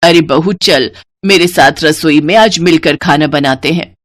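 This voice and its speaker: Woman voicing a low-pitched, bossy Indian mother-in-law. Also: a little slow. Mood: brisk, approving. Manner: bossy, nagging, easily fooled. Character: scolding, gullible middle-aged mother-in-law. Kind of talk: acted dialogue in a Hindi moral story.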